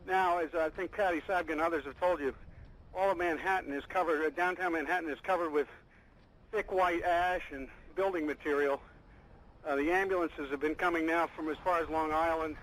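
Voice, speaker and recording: A man's voice: on the high side, steady tone, fairly quick, fairly bright tone. A man giving a hesitant, descriptive eyewitness news report over the phone. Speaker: field reporter. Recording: noisy live phone report.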